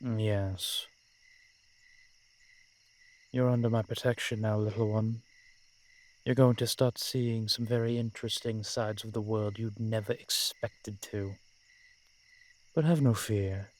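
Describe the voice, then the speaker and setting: A man playing a centuries-old vampire general in a roleplay audio drama, protective and proud, speaking with courtly, measured phrasing and dramatic pauses. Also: lowish voice, fairly even intonation. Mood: reassuring. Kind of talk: roleplay audio drama, addressed intimately to one listener.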